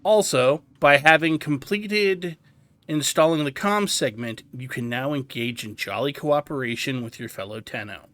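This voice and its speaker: Male gaming host: bright, forward voice at medium pitch, casual explanatory commentary in deliberate phrases. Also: no room sound. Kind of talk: casual tutorial game commentary.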